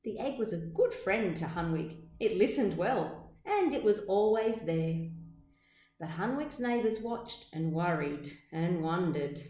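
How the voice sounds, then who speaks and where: A woman's voice: on the low side, expressive, mellow. Echoey woman reading a storybook aloud. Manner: measured, deliberate, dramatic phrasing. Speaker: teacher reading aloud to children. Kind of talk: expressive storybook read-aloud. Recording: echoey room sound.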